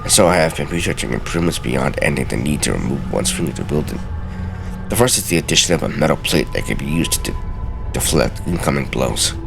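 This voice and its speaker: A man with an extremely deep, creaky voice reads video game text aloud in a theatrical style. His delivery is deliberate yet widely varied in pitch, with an occasional stumble, and music plays clearly behind him. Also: lively intonation. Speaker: let's play host. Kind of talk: dramatic reading of video game journal pages.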